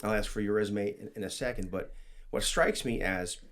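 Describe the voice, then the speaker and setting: A man hosting a policy interview, enthusiastic and halting, with many restarts. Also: on the low side, fairly bright tone. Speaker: host.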